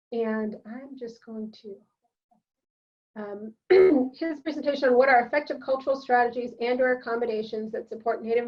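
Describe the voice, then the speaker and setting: A woman moderating sessions at a virtual symposium, introducing speakers and taking questions in a brisk, upbeat way. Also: fairly high pitch, dull audio.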